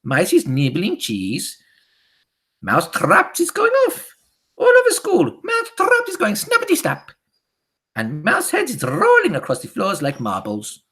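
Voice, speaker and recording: A woman voicing a gloating, disgusting old Grand High Witch in a storybook, chanting theatrically in broken grammar. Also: wildly swooping pitch, measured pace, fairly bright tone. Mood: triumphant shrieking. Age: older. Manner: theatrical, chanting, ungrammatical speech. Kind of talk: theatrical storybook character reading.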